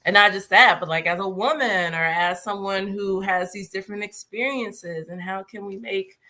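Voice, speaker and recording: A woman chats as a guest in a relaxed interview, her voice bright and lively in tone. She talks easily and breaks into laughter often between her phrases. She speaks from her home. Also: mid-range voice, moderate pace. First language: English. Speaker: guest, former conference attendee. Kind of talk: casual conversational interview. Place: at home with a small child nearby.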